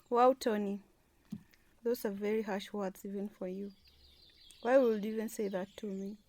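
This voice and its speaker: A woman playing an indignant wife and demanding boss in a radio drama, reproachful and sharp-tongued. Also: medium pitch, steady tone, slow, pauses often, no echo, lightly acted. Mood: hurt.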